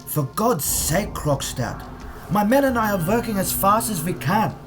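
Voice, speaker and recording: A man acts out an overworked rocket scientist from a wartime audio drama. The voice is on the high side, protesting with earnest exasperation, with music clearly behind it. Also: lively intonation, measured pace. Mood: exasperated. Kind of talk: fan audio drama acting.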